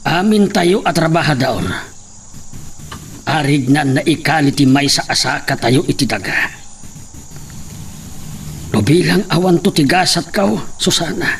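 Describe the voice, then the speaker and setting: Gravelly, resolute working-class father. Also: on the high side, expressive, a little slow, pauses often, recorded from a distance, a little hiss or hum. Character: resolute father, a labourer set on struggle. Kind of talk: radio drama acting.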